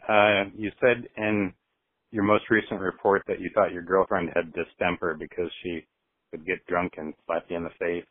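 A man with a very low, flat voice leaves a teasing voicemail and chuckles through it. The sound is dry and tinny, like a phone line. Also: normal speed, dry recording. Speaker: caller.

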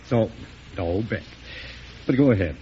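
An actor playing a loyal, deferential politician in an old-time radio drama, speaking in a respectful, measured, slightly hesitant way. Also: male voice, on the low side, animated. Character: loyal, deferential Southern male politician with a past. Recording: muffled, noisy vintage radio recording.

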